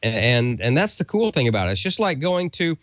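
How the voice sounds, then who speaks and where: A man's voice: mid-range voice, very animated, brisk, fairly bright tone, no echo. A man co-hosting a show, giving a casual, conversational sponsor read that rambles a little. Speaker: show co-host.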